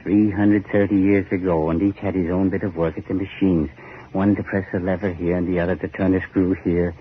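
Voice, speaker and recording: An actor playing a grim island man telling a dark history with ominous emphasis, in 1940s radio horror style. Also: low voice, flat delivery, fairly quick, close to an everyday voice. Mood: insistent, bitter. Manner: emphatic, ominous, repetitive storytelling. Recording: scratchy, muffled 1940s radio recording.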